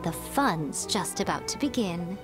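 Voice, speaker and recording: A woman's voice: lowish voice, lively intonation, takes it slowly, over music. A woman playing an elegant, teasing fox shrine maiden in a video game, composed, playful and a little condescending. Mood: smug, amused.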